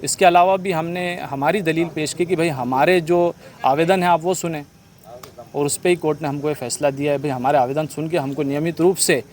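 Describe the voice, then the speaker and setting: A man's voice: on the high side, fairly even intonation, normal speed. A man giving a spontaneous interview statement, explaining and arguing his side as a petitioner, mixing in English terms.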